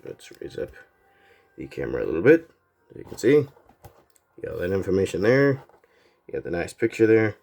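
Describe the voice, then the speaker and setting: Low-voiced man with a stuffy nose. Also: brisk, vocal fry, pauses often. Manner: rambling, relaxed, lots of fillers. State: congested, slightly nasal from a cold. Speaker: toy set reviewer. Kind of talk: casual product review.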